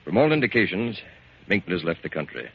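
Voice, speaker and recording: Brisk, fast-talking police captain. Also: male voice, deep voice, big pitch swings, slightly rough, full character voice. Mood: businesslike. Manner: clipped, official. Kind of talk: 1940s American radio drama acting. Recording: muffled, faintly noisy 1940s radio recording.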